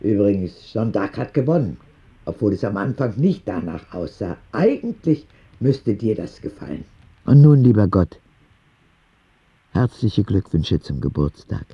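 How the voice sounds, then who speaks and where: A childlike, frank letter-writer in a radio drama. His narration is matter-of-fact but very animated in pitch, with plenty of creak. It sounds slightly muffled. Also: unhurried, no room sound, heavily acted. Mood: frank, content. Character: frank, childlike ten-year-old boy writing letters to God.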